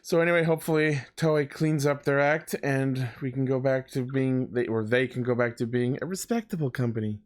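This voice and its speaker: A man's voice: mid-range voice, brisk, hardly any pauses. A man co-hosting a podcast, chatting casually about fan news. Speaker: podcast co-host.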